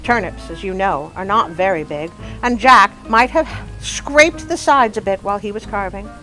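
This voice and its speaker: Woman, children's storyteller, lively varied pitch, expressive dramatic delivery, faint music behind. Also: normal speed, low-quality recording. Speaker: storyteller and channel host.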